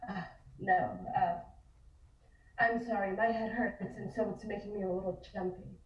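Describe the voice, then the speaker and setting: A woman's voice: medium pitch, steady tone, moderate pace, lightly acted. A woman acting a brusque, jumpy investigator who hides her nerves in a table read, dry and clipped. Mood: edgy. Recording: roomy, dull, distant microphone.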